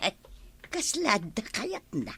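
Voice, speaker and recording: A woman's voice: a little high, wildly swooping pitch, slow pace, gravelly, sharp tone, slightly rough, full character voice. An actress playing a talkative, indignant village woman in an Ilocano radio comedy drama, with an Ilocano accent. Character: talkative village woman, indignant, gossiped about over money.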